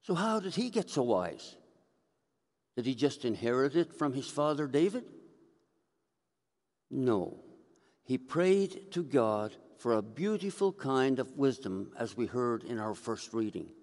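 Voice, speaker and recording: A man's voice: mid-range voice, very animated, a little slow, darkish tone. An older man, a priest, giving a conversational sermon at a lectern microphone and telling stories as he preaches. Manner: conversational storytelling, gesturing as he speaks. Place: church sanctuary, indoors. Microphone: gooseneck lectern mic. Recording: reverberant church hall.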